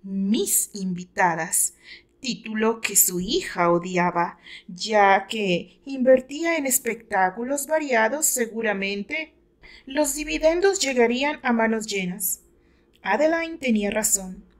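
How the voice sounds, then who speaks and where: A woman with a Mexican accent reads a dramatic novel aloud in a measured, expressive storytelling manner. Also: a little high. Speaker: author narrating her own novel. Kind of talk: dramatic audiobook narration.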